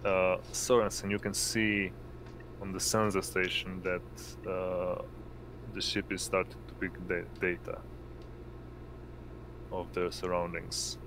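Slow, low-voiced man running a game. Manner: halting, pausing mid-sentence. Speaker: game master. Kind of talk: improvised tabletop game narration. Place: indoors, online voice call. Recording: noisy home mic, steady hum.

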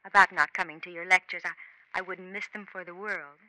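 A woman's voice: on the low side, fairly even intonation, a little fast, pushed and bright, no echo, rough recording. An actress playing a solicitous, genteel society woman in a 1950s radio drama. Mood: coy. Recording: vintage radio, faint noise.

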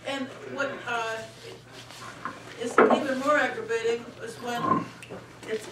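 A woman speaks up from the floor in halting, indignant bursts, her voice sitting a little high. She sounds distant in an echoey room, with loud clinking of dishes and cutlery behind her. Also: fairly even intonation, heavy background noise. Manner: halting, indignant, many uh pauses. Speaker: audience speaker. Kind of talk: impromptu floor speech. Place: restaurant meeting room.

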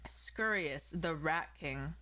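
A woman's voice: sits low, slow, bright, forceful tone. A young woman chatting casually and slangily as a live streamer, a non-native English speaker, close on a desk mic at home. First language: not English. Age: young adult. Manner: informal, slangy. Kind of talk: casual live-stream chat, reading and answering viewers. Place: small indoor room at home. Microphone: desk microphone on an arm, close.

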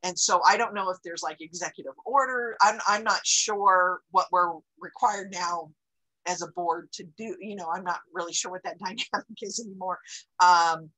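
A woman's voice: lowish voice, moderate pace, pushed and bright, rough recording. A woman library director, giving a chatty report on a video call with many fillers and restarts.

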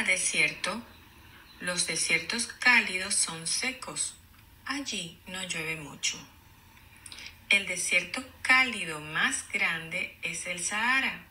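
A woman teacher reading aloud to children in a deliberate, explanatory way, enunciating for young learners. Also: mid-range voice, pushed and bright, slight background noise. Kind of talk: children's read-aloud lesson.

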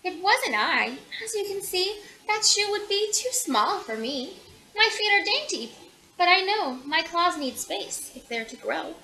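A woman's voice: extremely high pitch, sing-song, a little slow, sharp tone, reverberant, exaggerated voice, low-quality recording. A woman voicing a vain, dainty storybook tiger with a prim, polite manner. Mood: dainty, proud. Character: vain, dainty tiger proud of small feet.

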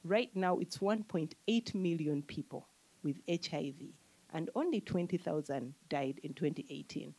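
A woman in her thirties from Uganda, speaking as an economist on a panel into a handheld mic, explaining in a measured way and listing her points. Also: low voice, darkish tone, husky, poor audio. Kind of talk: panel discussion answer. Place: conference room with audience.

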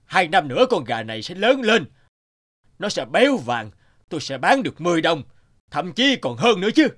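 A man voicing a greedy, stubborn poultry seller in an animated folk tale, insistent and argumentative. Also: a little high, moderate pace. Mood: demanding.

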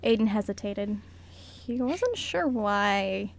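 A woman's voice: medium pitch, lively intonation, slow, creaky. A woman reading scripted narration for a radio play as its narrator.

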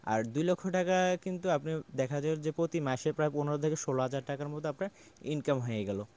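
A young man from Bangladesh, a small goat farmer, giving earnest, explanatory advice to the camera in a farmyard and pausing on "ah" as he thinks. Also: on the high side, a little mellow. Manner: earnest and explanatory, with frequent "ah" pauses. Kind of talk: casual advice talk to camera. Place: outdoor farmyard with goats and pigeons. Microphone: small handheld mic near the mouth.

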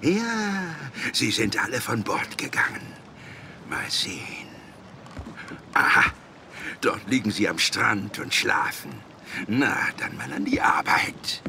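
An actor playing a scheming, boastful rogue who mutters and cackles to himself in a children's audio drama. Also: male voice, lowish voice, big pitch swings, takes it slowly, creaky, heavily acted. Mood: sneaky glee. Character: scheming gloating male villain, a ship-stealing rogue. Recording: noisy sound-effect bed behind.

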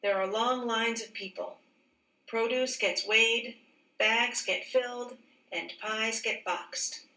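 A woman with a bright, forward voice reads a children's book aloud like a teacher, phrasing each sentence carefully and expressively. The recording is roomy and a little distant from the microphone. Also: a little high, steady tone, slow.

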